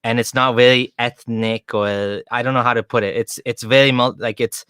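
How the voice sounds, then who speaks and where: A man's voice: medium pitch, fairly even intonation, moderate pace, a bit bright, clean tone, dry recording. A man hosting a casual livestream interview, talking informally and often rephrasing himself as he goes. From Kenya. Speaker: interviewer, show host.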